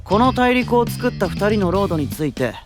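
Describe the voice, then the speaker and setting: An actor playing a cheeky, self-assured young knight, an older brother with a breezy, teasing way of talking, in an anime audio drama. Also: male voice, high voice, very animated, fairly quick, over music. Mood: curious.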